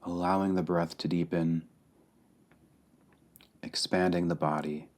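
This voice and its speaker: A man leading a guided meditation calmly and deliberately, pausing between short phrases. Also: low-pitched. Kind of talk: guided meditation, slow and spacious.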